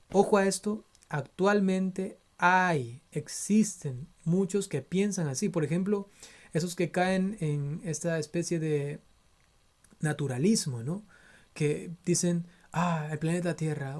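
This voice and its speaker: A priest from Ecuador teaches theology in an earnest, admonishing way, his Ecuadorian Spanish accent clear throughout. His voice is mellow and warm, and he keeps checking in with his listeners as he explains. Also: a little high. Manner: earnest, admonishing, frequent tag questions. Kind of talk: theology lecture.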